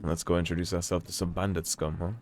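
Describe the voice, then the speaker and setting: A man with a very deep voice commentates his game run in a casual, self-mocking way. His throat is a bit sore, so the voice comes out slightly croaky. Faint noise hums underneath. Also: fast. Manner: relaxed, wry, self-mocking. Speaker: let's play host.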